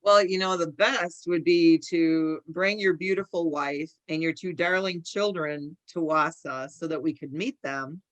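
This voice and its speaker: A woman hosting a podcast, chatting casually and asking gentle, encouraging questions. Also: deep voice, steady tone, unhurried, few pauses, highs cut off. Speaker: podcast host. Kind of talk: casual interview chat.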